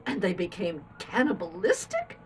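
A woman's voice: medium pitch, wildly swooping pitch, normal speed, a little hiss or hum. An actress playing an appalled, accusing woman in a radio drama, questioning with emphatic indignation.

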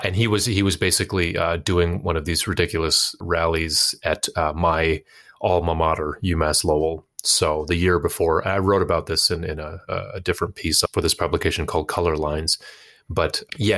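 Very deep-voiced American man chatting casually. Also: gravelly, a bit bright. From United States. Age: 30s. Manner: informal, rambling, slangy. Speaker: podcast guest, actor and musician. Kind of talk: casual interview chat.

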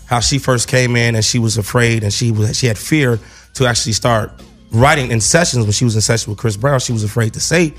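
A man's voice: mid-range voice, brisk, soft background music. Joking casual male chat host. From Gary, Indiana. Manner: loose, joking, swears casually.

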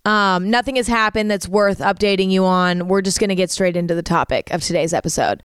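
A woman's voice: on the low side, a little fast, clean tone, no room sound, studio quality. Chatty young woman podcast host. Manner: chatty, rambling, uses "like" and "um" a lot. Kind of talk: casual chat.

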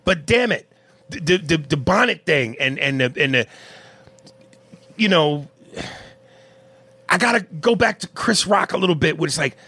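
A man's voice: on the high side, animated, moderate pace, long pauses, slightly rough. A man with a Canadian accent, co-hosting a casual talk show, reading listener comments and answering with blunt, profane rants. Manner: blunt and profane, rising into loud emphatic rants. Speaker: podcast co-host.

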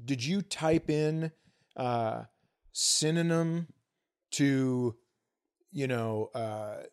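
A man's voice: medium pitch, very slow, smooth. A man co-hosting a comedy podcast, giving blunt, profane, mock-authoritative takes in casual banter.

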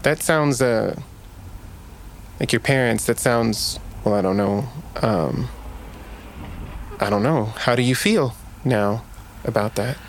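A man's voice: medium pitch, slow, long pauses, a little hiss or hum. An actor playing a cocky yet clumsy young male space traveler in an audio drama, stammering and hesitant in conversation. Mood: awkward, sympathetic.

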